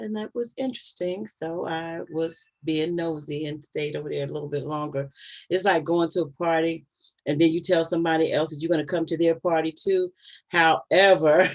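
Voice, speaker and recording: A woman's voice: deep voice, highs cut off. A woman in her fifties hosting a casual livestream from home, chatty and given to laughing asides to her viewers. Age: 50s. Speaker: channel host.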